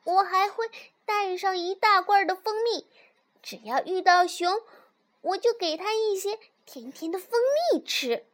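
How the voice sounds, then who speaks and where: A woman's voice: measured pace, fairly bright tone. A woman voicing a bold, boastful little fox child in a storybook, with an eager, emphatic childlike delivery. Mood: proud, excited. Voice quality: high, childlike.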